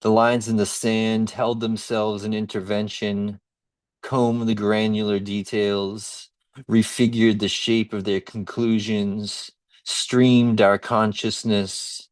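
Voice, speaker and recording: A man reciting his poem at an open mic in a deliberate, measured way. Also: lowish voice, flat delivery. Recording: roomy echo, distant microphone.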